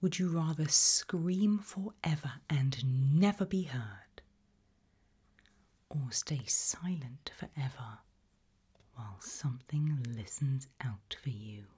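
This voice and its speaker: A woman whispering an ASMR role-play straight to the listener in a breathy, measured, quietly ominous way. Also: sits low. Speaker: ASMR host playing a fear-test examiner.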